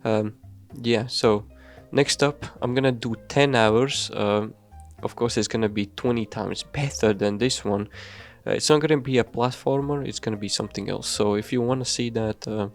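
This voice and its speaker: A man's voice: medium pitch, faint music behind. Male devlog narrator, casual and self-mocking, mid-range voice. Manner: loose and offhand, frequent swearing and fillers; self-deprecating, hurried. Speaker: game dev YouTuber.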